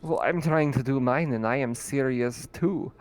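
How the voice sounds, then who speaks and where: An irritated armorer talks back in a defensive, indignant way, his voice sitting at an ordinary pitch. A man plays him in a tabletop game. He sounds put out at being pushed around. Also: moderate pace, a little hiss or hum, close to an everyday voice. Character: irritated male armorer guarding weapons.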